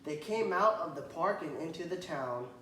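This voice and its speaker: Guy reading a kids book out loud to a child, clears his throat a lot, echoey room with faint hiss. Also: on the high side, expressive. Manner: pauses to point at pictures and ask questions. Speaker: teacher reading aloud.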